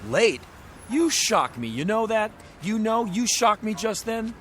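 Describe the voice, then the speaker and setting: A man's voice: high-pitched, big pitch swings, measured pace, fairly bright tone, a little hiss or hum, exaggerated voice. An actor playing a glib, pushy used-car salesman in a radio drama, with florid, bombastic patter. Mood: indignant, persuasive.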